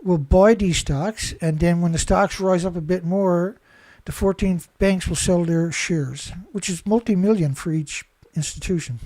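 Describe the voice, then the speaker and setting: A man's voice: sits high, unhurried, hardly any pauses, darkish tone, no echo. A man reading news headlines and adding rambling, indignant commentary, often ending with "right?". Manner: rambling, indignant, many restarts and "right?" tags.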